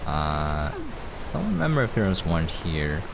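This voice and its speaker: Deep-voiced man giving casual game commentary. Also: very animated, a little slow, creaky, noisy background. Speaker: game preview host.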